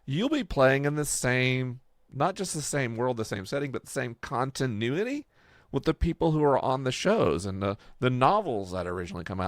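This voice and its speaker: A man's voice: mid-range voice, dry recording, studio quality. A man talking casually and conversationally as a podcast host, explaining his project. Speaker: podcast host and game creator.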